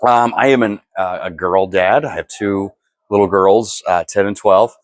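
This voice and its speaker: Man, very deep voice, dry close sound, easygoing. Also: moderate pace, no echo.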